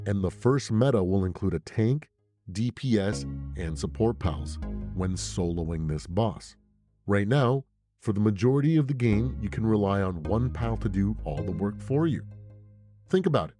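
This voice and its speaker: A man hosting casual, scripted gaming commentary, talking in rhetorical questions and playful asides. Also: lowish voice. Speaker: gaming video creator and host. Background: background music.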